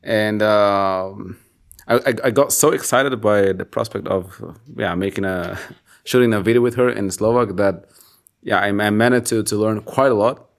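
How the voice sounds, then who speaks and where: A man with a lowish voice recounts a memory in an unhurried, chatty way. He restarts phrases often and speaks like he is among friends on a podcast. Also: gravelly. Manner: relaxed storytelling with frequent restarts. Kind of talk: casual conversation.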